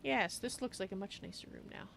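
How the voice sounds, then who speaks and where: A woman's voice: mid-range voice, fairly quick, vocal fry, a little hiss or hum. A woman playfully musing aloud as she narrates her video game roleplay in character.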